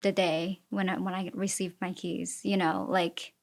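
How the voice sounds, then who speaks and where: A woman who is a native Chinese speaker, answering interview questions as a real estate agent in an earnest, halting way. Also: lowish voice, flat delivery. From immigrated with a Chinese-speaking family. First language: Chinese (Mandarin and Fujianese).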